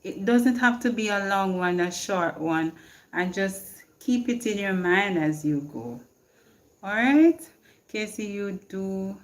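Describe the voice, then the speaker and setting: A woman's voice: mid-range voice, expressive, slow pace. A Jamaican woman hosting a live stream, chatting casually and answering viewer comments. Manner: reads viewer comments aloud and answers them, laughs often. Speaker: livestream host. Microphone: phone.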